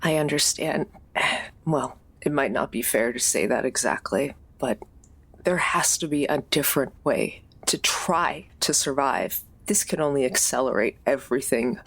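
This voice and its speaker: A woman playing a shaken, compassionate peacemaker in a tabletop game, husky, halting and pleading. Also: very deep voice, no echo, heavily acted. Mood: earnest.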